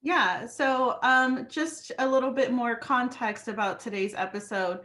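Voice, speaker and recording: A woman's voice: on the high side, unhurried, sharp tone. A woman from California who hosts an interview and asks her guests questions encouragingly over a video call. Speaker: podcast interviewer. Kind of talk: casual interview.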